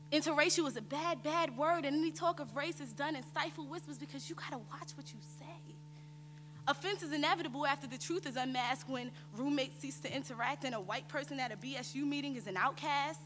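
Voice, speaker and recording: A young woman recites spoken-word poetry in a high, forceful voice. Her delivery is rhythmic and declamatory, and it rings through a reverberant theater hall. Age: young adult. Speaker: student performer. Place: on stage in a theater. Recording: echoey theater hall with background noise.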